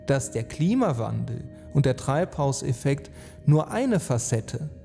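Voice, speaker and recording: Very mellow, reverberant man's voice over music. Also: mid-range voice, very animated, vocal fry. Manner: rhetorical, emphatic, deliberate phrasing. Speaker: speaker and author on climate and sustainability. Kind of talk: earnest reflective essay narration for an audio piece. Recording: long reverb, music underneath.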